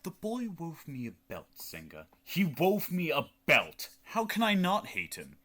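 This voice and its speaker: A man's voice: on the high side, wildly swooping pitch, unhurried, sharp tone, exaggerated voice. A young man acting a petulant, self-pitying king in a radio play, exasperated and theatrical. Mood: indignant. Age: teenager.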